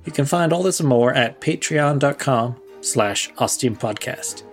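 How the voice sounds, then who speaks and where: A male podcast host delivers scripted narration and credits. His voice sits at a middle pitch, with faint music playing behind it. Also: animated, unhurried.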